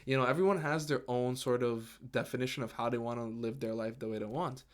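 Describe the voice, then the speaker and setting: A man in his twenties who grew up in Guyana, hosting his first solo podcast episode in an earnest, halting way, with restarts and fillers. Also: medium pitch. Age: 20s. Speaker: podcast host. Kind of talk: casual solo podcast talk.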